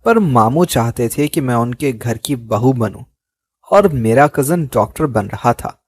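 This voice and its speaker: A man narrating a sad first-person story in a slow, measured storytelling manner. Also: medium pitch, expressive, no echo.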